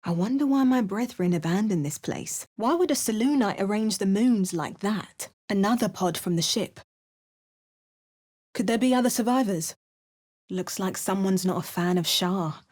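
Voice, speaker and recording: A young woman voicing a game character with wry, measured first-person narration. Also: medium pitch, animated, darkish tone.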